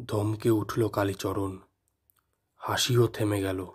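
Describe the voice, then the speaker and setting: A male storyteller with a lowish voice narrates a horror tale very slowly. His delivery is measured and dramatic, holding the suspense. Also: flat delivery, husky.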